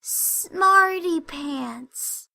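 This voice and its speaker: Very high, sweet little filly. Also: female voice, extremely slow, creaky, pushed and bright, full character voice. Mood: tender delight. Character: sweet, bookish little girl pony, delighted with her toy. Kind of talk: dramatic fan fiction reading, cartoon pony character.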